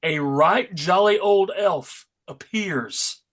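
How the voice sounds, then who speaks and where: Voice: medium pitch, takes it slowly, a bit bright. Wry comic book narrator.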